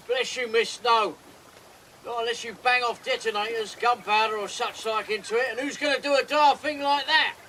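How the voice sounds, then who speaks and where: A man's voice: very high voice, fairly even intonation, normal speed, pushed and bright, a little hiss or hum, low-quality recording. A folksy boatman. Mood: grumbling. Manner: plainspoken, folksy, grumbling. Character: gruff, boozy river boatman. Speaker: actor. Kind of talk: radio drama acting.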